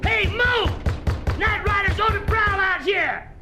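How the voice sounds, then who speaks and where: An actor playing a rough rural American man who bellows urgently in a radio drama. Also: very high voice, over music. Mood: urgent alarm. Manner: bellowing, urgent, drawn-out calls.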